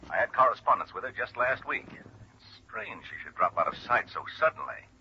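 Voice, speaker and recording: A man's voice: mid-range voice, very animated, moderate pace, natural voice. An actor playing a frustrated male insurance company man in a 1950s radio drama, terse and insistent. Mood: urgent, frustrated. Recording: muffled, noisy old radio recording.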